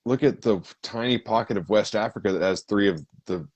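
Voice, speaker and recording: A young man co-hosting a podcast in casual chat, excitable and quick to rattle off stats. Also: lowish voice, no echo, dull audio. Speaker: podcast co-host.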